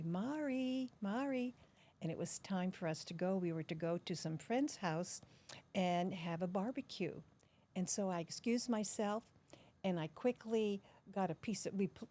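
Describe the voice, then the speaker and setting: Older woman, from the Netherlands, lowish voice, unhurried storyteller, interview guest. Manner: warm, unhurried storytelling with soft laughs.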